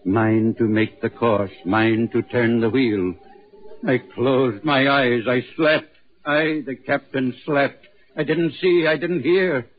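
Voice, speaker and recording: Haunted ghostly sea captain, muffled radio. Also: male voice, medium pitch, slow pace. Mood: anguished, fanatical. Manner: solemn, incantatory, repetitive phrasing. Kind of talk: 1940s radio horror acting. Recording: muffled, narrow-band 1940s radio recording.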